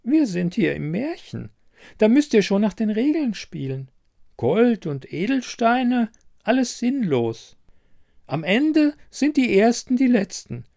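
Man putting on a croaky old witch, pitched high. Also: big pitch swings, slow pace, darkish tone, heavily acted. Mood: insistent, coaxing. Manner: insistent, archaic courtly address. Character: old beggar woman, secretly a cunning herb witch.

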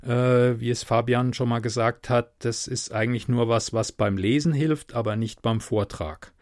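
A man's voice: mid-range voice, steady tone, no echo, clean studio sound. Chatty German man, slight Palatine lilt. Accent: Palatine German, softened by speech training. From Mannheim area, Germany. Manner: chatty, unhurried, reads with dramatic emphasis. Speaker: podcast host and reader. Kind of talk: casual podcast intro, then literary reading.

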